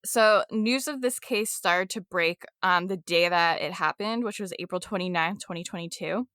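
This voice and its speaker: A woman's voice: fairly low pitch, normal speed, a bit bright, smooth, dry recording. A woman co-hosting a true crime podcast in a loose, chatty way, full of fillers and laughs. She sounds a little congested. Her voice sits close and dry on the microphone with no room around it. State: congested from allergies. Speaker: podcast co-host.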